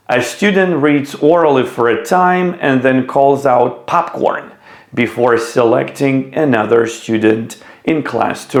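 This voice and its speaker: A man narrates a scripted lesson like a teacher presenting to viewers. His delivery is slow and deliberate, with a creaky, fry-edged voice. The sound is noticeably roomy and echoey. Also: mid-range voice. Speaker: teacher presenting an educational video.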